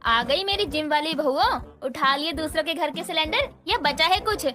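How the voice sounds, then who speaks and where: Woman playing a high-pitched, scolding mother-in-law. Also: normal speed, faint music behind. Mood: nagging. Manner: scolding, colloquial, sharp-tongued. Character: feisty, protective mother-in-law of five gym-going daughters-in-law. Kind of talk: Hindi moral-story cartoon dubbing.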